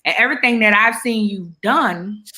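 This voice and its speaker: A woman in her forties hosting a casual chat show, animated and laughing often. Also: mid-range voice, moderate pace. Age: 40s. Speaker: podcast host.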